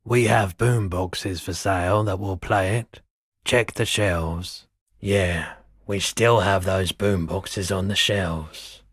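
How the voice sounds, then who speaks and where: A world-weary pawnshop owner from a video game, male and very low in pitch. He takes his time over each phrase, speaking slowly and softly with a wistful, laid-back air. The voice is husky and sits close and dry. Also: steady tone, no echo, full character voice. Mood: laid-back, obliging. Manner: unhurried, low-key, deliberate. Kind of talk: video game character dialogue.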